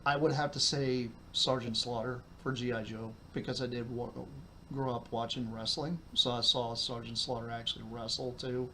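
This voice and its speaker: A man's voice: medium pitch, flat delivery, normal speed, pushed and bright, slight background noise. A man chatting casually on a podcast, rambling with lots of fillers. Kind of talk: casual chat.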